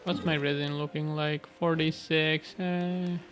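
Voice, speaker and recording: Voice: mid-range voice, flat delivery, measured pace. A streamer giving casual gameplay commentary, rambling and thinking aloud. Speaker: video game streamer. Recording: game music behind voice.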